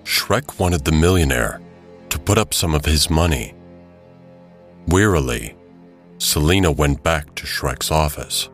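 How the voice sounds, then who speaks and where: A male narrator with a low voice tells the story of an audio drama. His scripted delivery is dramatic, set over a clear music bed. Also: lively intonation, unhurried, long pauses, a bit bright.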